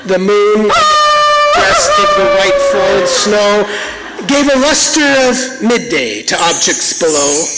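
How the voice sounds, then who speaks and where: Guy reading poetry real slow and dramatic, high voice swooping around, noisy recording. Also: big-room sound. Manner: dramatic, exaggerated delivery. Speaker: poem reader, performer. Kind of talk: theatrical comic poetry reading for a stage radio show.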